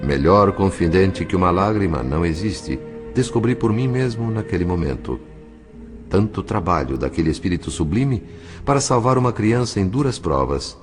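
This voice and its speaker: A man's voice: sits low, lively intonation, normal speed, over music. A male actor narrating solemnly and dramatically as a spirit in a Brazilian audio drama, with a Brazilian accent. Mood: solemn, tender.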